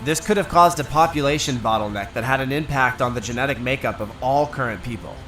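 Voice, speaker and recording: A man reading scripted documentary narration in a steady, informational way. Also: medium pitch, fast talker, over loud music.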